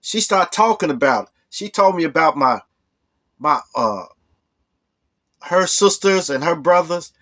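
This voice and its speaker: A hoarse man with a cold telling a rambling personal story as the host of a storytelling channel. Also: on the high side, lively intonation, unhurried, long pauses, bright, forceful tone. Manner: rambling, full of "you know". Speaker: storytelling vlogger. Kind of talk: casual storytelling.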